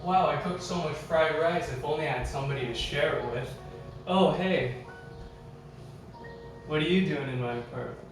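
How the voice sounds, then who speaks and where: A man's voice: on the high side, normal speed, pauses often. Playful man chatting in an echoey room. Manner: playful, teasing, joking. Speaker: livestreamer cooking at home. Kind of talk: casual livestream chat. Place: home kitchen, indoors. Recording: echoey room, music behind.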